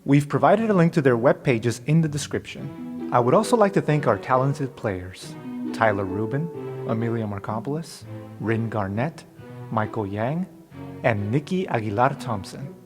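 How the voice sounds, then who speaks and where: A man speaks as game master and host of an improvised fantasy roleplay podcast. His voice has a medium pitch, and music plays clearly underneath him. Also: animated, normal speed. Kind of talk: improvised tabletop game narration. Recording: music playing behind voice.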